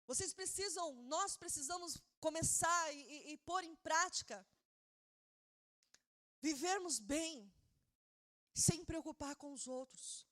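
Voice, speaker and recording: A woman pastor preaching a sermon, fervent, rising to shouted emphasis, with some stumbles and repeated words; Portuguese is not her first language. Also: high voice, slow pace, long pauses.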